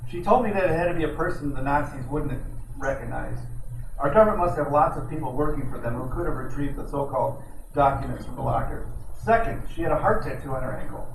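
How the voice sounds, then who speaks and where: A man's voice: medium pitch, fast, vocal fry, lightly acted. A man playing a wisecracking, streetwise 1940s private detective in old time radio style, telling his story in slang. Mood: recounting. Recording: echoey, distant room with loud noise.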